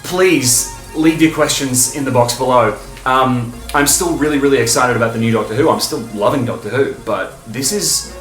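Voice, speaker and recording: A man hosting a fan video, talking in an enthusiastic, informal, emphatic way, stopping and starting with pauses for breath. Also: mid-range voice, fairly bright tone, roomy sound, soft background music, rough recording. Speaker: video host and fan reviewer.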